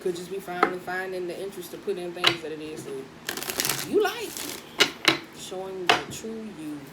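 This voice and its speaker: A woman with a husky voice doing a casual, chatty tarot reading, speaking in with laughs and slangy asides. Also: on the low side, animated, moderate pace, very noisy. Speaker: tarot reader.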